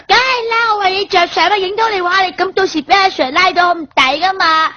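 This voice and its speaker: A very high-voiced cheeky child. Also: female voice, fairly even intonation, fast talker, bright, forceful tone, muffled recording. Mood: coaxing, sly. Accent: Guangdong Cantonese. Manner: innocent questioning, coaxing. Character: cheeky, quick-witted little child outsmarting a thief. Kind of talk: improvised comic Cantonese radio play.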